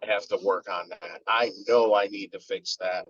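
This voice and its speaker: A man's voice: fairly low pitch, fairly even intonation, moderate pace, fairly bright tone, no echo, muffled recording. Heated man ranting in casual chat.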